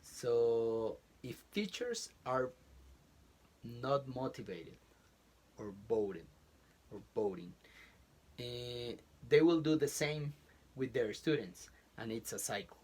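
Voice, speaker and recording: A Colombian-accented man speaking slowly and deliberately, in a middle-pitched voice. He stops and restarts his phrases as he hunts for the right words, like a professor chatting as a podcast guest. The recording was made in a small closet at home. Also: expressive, darkish tone. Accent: Colombian Spanish. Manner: halting, searching for words with many restarts. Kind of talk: casual interview chat.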